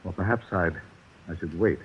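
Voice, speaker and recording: An anxious low-voiced doctor. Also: male voice, moderate pace, husky. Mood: cautious. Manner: hesitant, worried. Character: anxious, solicitous male doctor, nervously hesitant. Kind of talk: 1940s radio suspense acting. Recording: dull, slightly noisy vintage radio recording.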